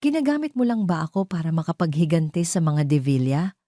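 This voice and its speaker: A woman's voice: low-pitched, very animated, fairly quick, mellow, no echo, studio quality. A woman voicing a hurt but determined wronged wife in an audio drama, speaking in a direct, confrontational way. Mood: hurt, accusing.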